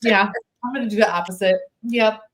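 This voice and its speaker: Casual woman podcast host, mid-pitched. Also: fairly quick. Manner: informal, reactive listener. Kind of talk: casual chat.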